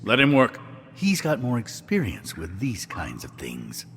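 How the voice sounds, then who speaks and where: An actor playing a pompous, fretful official in a video game, speaking formally and anxiously. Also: male voice, medium pitch, huge pitch swings, measured pace, heavily acted. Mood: uneasy. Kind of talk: video game dramatic acting. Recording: loud music behind the voice.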